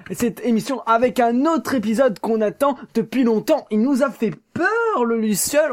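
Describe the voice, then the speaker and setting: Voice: medium pitch, darkish tone. Casual presenter hosting a show.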